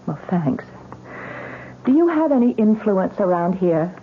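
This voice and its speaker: An actress playing a stubborn, exasperated young newlywed wife in a radio drama. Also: female voice, medium pitch, sing-song, measured pace. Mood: sharp, defiant. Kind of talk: 1970s radio drama acting. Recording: tinny, noisy old radio broadcast.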